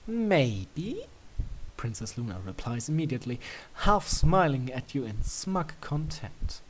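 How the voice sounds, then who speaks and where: Story narrator, scripted fiction reading, medium pitch, lively intonation. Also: moderate pace, rough recording.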